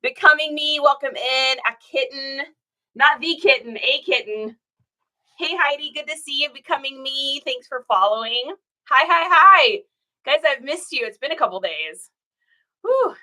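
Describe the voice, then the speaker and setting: A woman's voice: high-pitched, lively intonation, unhurried, bright, forceful tone. A woman, hosting a casual livestream and greeting viewers by name, her voice still scratchy after a cold. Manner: chatty, greets viewers by name, laughs often. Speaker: reseller livestream host.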